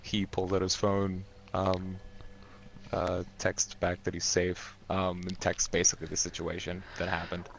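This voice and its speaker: A man's voice: sits low, completely flat delivery, unhurried, vocal fry, slight background noise, low-quality recording. A man describing his character's actions in a casual tabletop game, hesitant as he pieces them together. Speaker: role-playing game player. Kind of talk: casual tabletop role-play.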